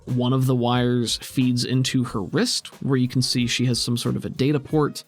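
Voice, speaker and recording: A man's voice: mid-range voice, level tone, moderate pace, faint music behind. A man narrating a tabletop role-playing game as game master, describing scenes in a conversational, explanatory way.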